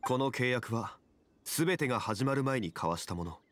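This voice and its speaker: An actor playing a calm, dignified ancient god in human form in a video game, speaking in a grave, formal, measured manner. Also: male voice, mid-range voice, lightly acted. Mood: calm, regretful. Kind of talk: video game character dialogue.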